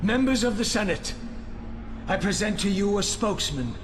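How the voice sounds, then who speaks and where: A high-voiced, earnest alien envoy. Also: male voice, animated, normal speed, background noise. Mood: solemn. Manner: formal, dramatic oratory. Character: earnest, impassioned alien envoy pleading to a senate. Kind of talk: dramatic video game cutscene acting.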